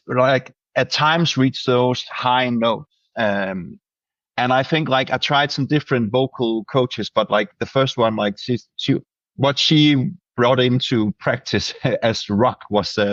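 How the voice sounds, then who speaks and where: A man's voice: medium pitch, a little slow, fairly bright tone, no room sound, dull audio. A Danish man, a band's singer, answering interview questions hesitantly with many restarts. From Denmark. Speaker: band singer.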